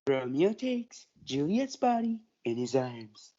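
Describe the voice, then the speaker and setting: Casting: female narrator, very low and mellow, animated intonation, school play script, lo-fi sound. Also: slow pace. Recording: dull lo-fi audio.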